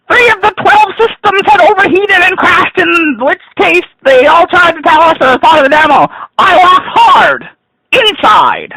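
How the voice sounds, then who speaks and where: A man giving an over-dramatic reading of an online rant, with a husky voice and theatrical, overwrought delivery. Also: high voice, no echo, rough recording. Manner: theatrical, overwrought delivery swinging from hushed to shouted.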